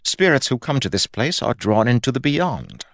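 A man's voice: medium pitch, huge pitch swings, moderate pace, dry recording, voice pushed up. Audiobook god, played by a male narrator: small, fussy, weary, soothing, lifted well above the narrator's register, dry close sound. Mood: resigned. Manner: huffy, solemn, soothing.

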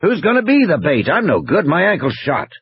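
A man voicing a gruff, injured game warden, blunt and urgent, in dramatized audiobook dialogue. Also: on the high side, wildly swooping pitch, fairly quick, dry recording, lo-fi sound, voice pushed up, full character voice. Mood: blunt, exasperated.

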